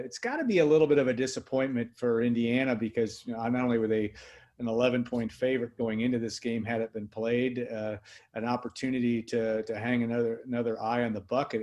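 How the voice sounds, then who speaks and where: A man's voice: medium pitch, steady tone, fairly quick, a little mellow. American man hosting sports talk. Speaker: sports show host.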